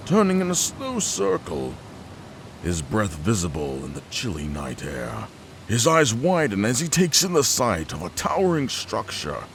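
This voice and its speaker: A male narrator reads a dramatic scripted story. His fairly low voice moves slowly and swings extremely widely in pitch, with music clearly behind him. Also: background noise.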